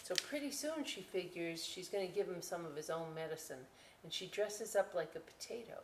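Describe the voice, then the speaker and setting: A woman hosting a children's storytime and craft session, chatty and expressive, speaking directly to young listeners. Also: lowish voice, fairly quick, slight background noise. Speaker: library storytime host. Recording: echoey room.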